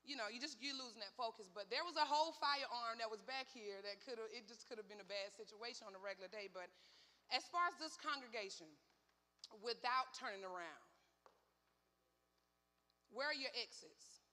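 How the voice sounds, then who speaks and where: A woman talks through personal safety as a sheriff's deputy, easy and casual, with fillers. Her voice is bright and forceful. A long hall reverb trails behind it. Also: a little high, a little fast. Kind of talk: safety training talk. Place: church hall.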